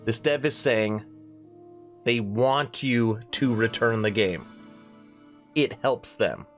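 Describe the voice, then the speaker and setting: A man's voice: mid-range voice, takes it slowly, soft background music. A man hosting a gaming news channel, sounding nasal from a cold, talking earnestly in a conversational way. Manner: earnest, conversational, reads a forum post aloud. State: has a cold.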